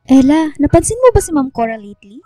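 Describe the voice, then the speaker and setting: Woman playing earnest teenage schoolgirl, high mellow voice, caring, respectful, radio drama. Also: sing-song, fast, no echo, faint music behind. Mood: worried, earnest.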